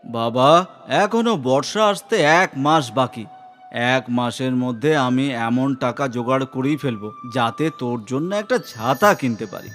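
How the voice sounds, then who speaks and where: A man's voice: medium pitch, animated, unhurried, a bit bright, faint music behind. A man voicing a humble, caring village father and poor craftsman in a cartoon. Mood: reassuring. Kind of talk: cartoon voice acting.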